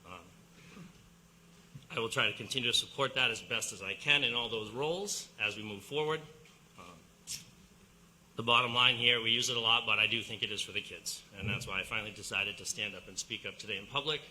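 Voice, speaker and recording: A man standing up at a public meeting to speak, earnest and a bit halting. He has a lowish voice with a very bright, sharp edge to it. Faint room noise sits under him. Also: poor audio. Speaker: community member addressing board.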